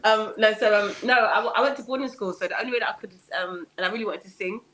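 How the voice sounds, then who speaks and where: A woman with a raspy voice, a guest singer telling chatty stories in a casual podcast interview and laughing at herself. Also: mid-range voice, very fast, a bit bright, rough recording. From United Kingdom.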